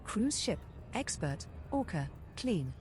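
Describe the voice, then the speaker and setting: A woman playing a flirtatious spaceship copilot computer, giving measured, teasing readouts in a game voice pack. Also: medium pitch, very animated, a little mellow, soft background music. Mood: businesslike.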